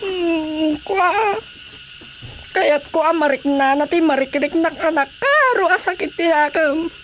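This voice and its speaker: An actress playing a distressed wife in a radio drama comedy, hesitant and whimpering, with a Filipino accent. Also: female voice, sits high, unhurried, poor audio. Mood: hesitant, embarrassed. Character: distressed wife seeking help, hesitant and tearful. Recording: faint background noise.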